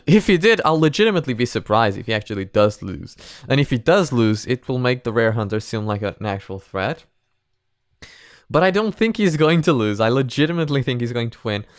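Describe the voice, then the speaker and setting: A man's voice: mid-range voice, very animated, brisk, dry recording, clean studio sound. A man giving casual, rambling commentary for a reaction video, circling back over his points. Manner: rambling, repetitive analysis.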